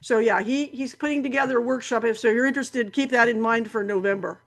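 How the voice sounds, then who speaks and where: A woman's voice: fairly high pitch, fast, gravelly. A woman hosting a camera club meeting, giving announcements in a rambling way with many fillers and restarts.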